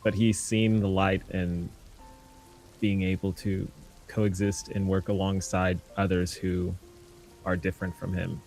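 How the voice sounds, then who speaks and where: Deep-voiced man describing his character. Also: level tone, a little mellow, faint music behind, low-quality recording. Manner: halting, with fillers and jokes. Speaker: player.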